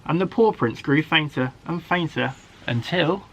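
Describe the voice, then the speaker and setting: A man, a primary school teacher, reading a children's story aloud in an expressive, dramatic way. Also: a little high, unhurried, highs cut off. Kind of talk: animated children's story narration.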